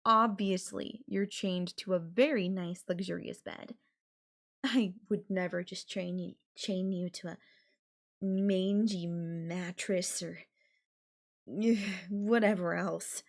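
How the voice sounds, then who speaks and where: A woman voice actor playing an obsessive, possessive girlfriend in an intimate audio roleplay, with a coy, casual, drawn-out way of talking. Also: lowish voice, fairly even intonation, vocal fry. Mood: smug, sweet. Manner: coy, drawn-out, casual filler-laden phrasing with giggles and sighs. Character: obsessive, possessive yandere girlfriend, playfully menacing kidnapper.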